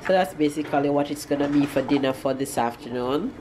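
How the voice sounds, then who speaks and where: A woman's voice: deep voice, fast talker, noisy background. A woman from Jamaica chatting casually to camera in a family vlog, with a stuffy, nasal voice. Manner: chatty, upbeat, lots of fillers. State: stuffy nose. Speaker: vlogger. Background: background music.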